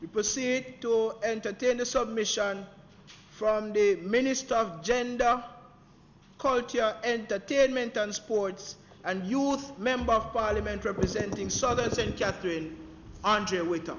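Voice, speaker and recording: A man with a Jamaican accent presiding over a parliament session, briskly and formally calling on the next speaker. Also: sits high, fairly bright tone. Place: parliament chamber. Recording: distant, echoey hall with faint noise.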